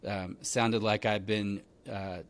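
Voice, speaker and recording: A man in his thirties hosting a podcast, telling stories in a relaxed chatty way full of "like" and "man". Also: on the low side, slow. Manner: relaxed storytelling, lots of fillers like "like" and "man". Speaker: podcast host. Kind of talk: casual conversational interview.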